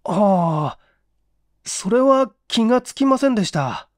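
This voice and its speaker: A deferential apartment employee voiced by a male reader answers police in a mystery story. He is polite but emphatic and earnest, with a high, animated voice in a dry recording. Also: unhurried, no echo. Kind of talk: dramatic audiobook character acting.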